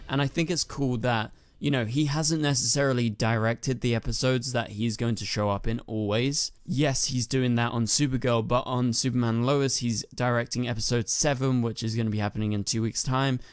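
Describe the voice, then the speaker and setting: Guy losing his voice, croaky and rambly, mid-pitched, soft music under it. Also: no room sound, clean studio sound. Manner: rambling, many fillers. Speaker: channel host. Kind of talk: casual news commentary.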